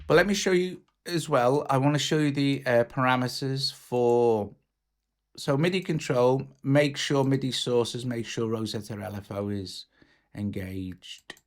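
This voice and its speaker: A man's voice: medium pitch, unhurried. Casual man presenting over music. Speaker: music software tutorial presenter. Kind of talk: casual tutorial walkthrough. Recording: music playing behind the voice. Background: drum machine and instruments.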